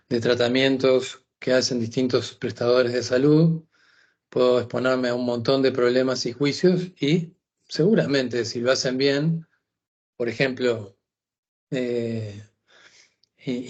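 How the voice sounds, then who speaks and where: A man explaining cybersecurity threats in a masterclass, often pausing on "este". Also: mid-range voice. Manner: explanatory, frequent "este" fillers. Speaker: cybersecurity lecturer. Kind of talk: masterclass lecture. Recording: dull lo-fi recording.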